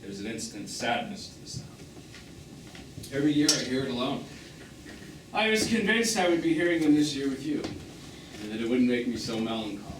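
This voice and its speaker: A man's voice: medium pitch, expressive, brisk, pauses often, lightly acted. Male, actor as melancholy lover in a one-act stage drama. Medium register, lyrical, wounded; recorded far off amid noise. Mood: wistful. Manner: lyrical, reflective, pointed. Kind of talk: naturalistic stage drama dialogue. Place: theatre stage, recorded from the audience distance. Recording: echoey, distant, noisy live theatre recording.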